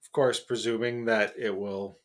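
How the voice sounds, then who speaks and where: A man's voice: on the low side, steady tone, normal speed. A middle-aged man co-hosting a podcast in casual chat, rambling with many fillers and restarts. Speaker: podcast co-host.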